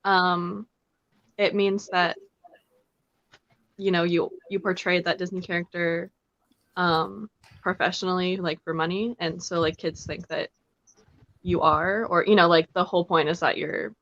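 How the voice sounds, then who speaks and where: A young woman with a low voice speaks on a video call, answering in a hesitant way full of ums and likes. The audio is dull and dry, with no room around her. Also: fairly even intonation, measured pace, long pauses, fairly bright tone, dry recording. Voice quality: deep voice. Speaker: guest, professional character performer. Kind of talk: casual interview chat.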